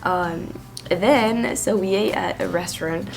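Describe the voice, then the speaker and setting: A young French woman vlogging her travels in casual chat, slightly hoarse from a cold and full of fillers and restarts. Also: on the low side, measured pace. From France. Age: young adult. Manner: chatty, with many fillers and restarts.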